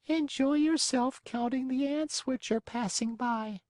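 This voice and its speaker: A man voicing a slow, calm female snail in a storybook reading, speaking in a peaceful, unhurried way. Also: very high-pitched, dry recording, voice pushed up.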